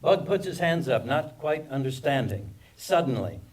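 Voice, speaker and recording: A man's voice: medium pitch, sing-song, normal speed, darkish tone. A man narrates screenplay action at a live reading, picked up from a little distance. His voice is mid-range, and he delivers the scene headings and directions as scripted narration. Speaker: stage-direction reader. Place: live table read at a film festival.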